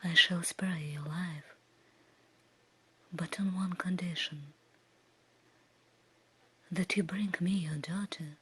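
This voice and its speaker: A woman voicing a stern, threatening male beast from a fairy tale in a slow, breathy whisper. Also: on the low side, fairly even intonation, fairly bright tone, full character voice. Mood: stern, bargaining. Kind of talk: whispered ASMR storybook reading.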